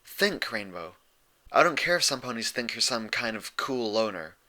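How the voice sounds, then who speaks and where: A man's voice: medium pitch, sing-song, normal speed, pushed and bright. A man voicing an earnest, exasperated young female unicorn pony in a storybook reading, insistent and pleading. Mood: urgent.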